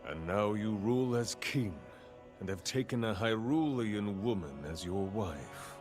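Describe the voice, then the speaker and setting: Low slow scheming villain king, falsely polite, music behind. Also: animated. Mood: silky menace. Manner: slow, deep, falsely courteous. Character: scheming desert king bowing to a rival king. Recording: game cutscene over music.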